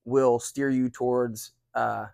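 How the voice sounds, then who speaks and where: A man's voice: mid-range voice, fairly even intonation, takes it slowly, creaky, darkish tone, no echo, clean studio sound. A man in his forties hosting a casual chat as a cigar reviewer, rambling along in an appreciative, polite way. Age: 40s. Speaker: cigar reviewer and video host.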